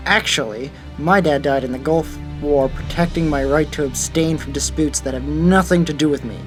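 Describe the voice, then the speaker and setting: A man's voice: a little high, normal speed, close to an everyday voice. An actor playing a resolute, dry teenage boy who stands his ground in an audio drama. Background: music behind the voice.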